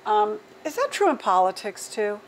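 An American woman in her sixties hosts an interview in a conversational style. She speaks at an average pitch for a woman, with noticeable room noise in the background. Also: very animated, normal speed. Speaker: interview host. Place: indoor club bar lounge. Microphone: lapel.

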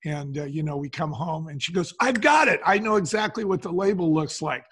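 A man's voice: fairly high pitch, animated, a little fast, a bit bright, a little hiss or hum. An older man being interviewed, telling stories conversationally and acting out the quotes as he goes. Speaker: podcast guest, brand co-founder.

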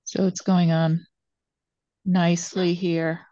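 Older female painting instructor, very unhurried live demo narration, soft dark tone, dull lo-fi sound. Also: lowish voice, level tone, no echo. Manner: unhurried, pausing between phrases while painting. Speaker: watercolor painting teacher. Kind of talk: real-time demonstration narration, thinking aloud. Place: indoors at a painting table.

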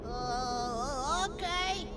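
An actor voicing a bewildered, good-hearted creature hero in a video game, halting and stammering. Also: male voice, very high voice, over loud music, exaggerated voice. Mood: hesitant. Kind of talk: video game cutscene acting.

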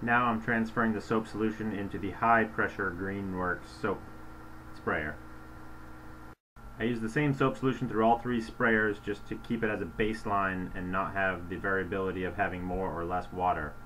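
A man's voice: lowish voice, steady tone, normal speed, pushed and bright, poor audio. A man giving a casual how-to voice-over as he presents a product comparison. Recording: voice-over with clear hum and noise.

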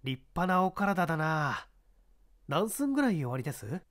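A man's voice: fairly high pitch, big pitch swings, a bit bright, voice pushed down. A man playing a composed, probing male detective. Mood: polite, probing. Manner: polite, measured, persistent. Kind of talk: dramatised audiobook reading.

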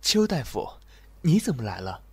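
A man's voice: mid-range voice, sing-song, moderate pace, dark tone, husky, dry recording, full character voice. A man playing a weary, reserved young general in an ancient Chinese audio drama, speaking formally and courteously. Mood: courteous, composed.

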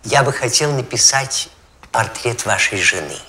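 An actor playing a pompous, courtly portrait painter in an animated comedy, with hesitant, ornate, drawn-out speech. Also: male voice, mid-range voice, a little hiss or hum. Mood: courteous, self-important.

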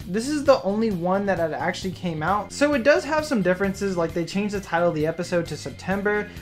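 Man narrating a video over music. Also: sits high. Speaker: video host. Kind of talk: casual scripted narration.

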